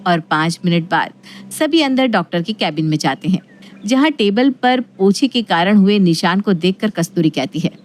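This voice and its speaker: A woman's voice: medium pitch, animated, normal speed. A woman narrator reading a scripted domestic moral story.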